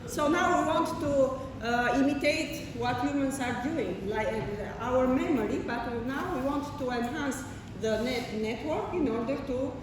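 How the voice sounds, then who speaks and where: A woman's voice: high-pitched, measured pace, hardly any pauses. A woman lecturing a class, explaining step by step with fillers, restarts and frequent "okay?" checks, heard from far off in a large hall. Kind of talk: classroom lecture with questions to students. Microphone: far room microphone. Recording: echoey hall from far off, noisy.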